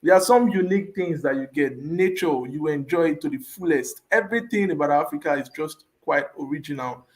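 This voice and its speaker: A man's voice: a little high, fairly quick, hardly any pauses. Earnest man speaking on an online panel. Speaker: panel guest, youth leader.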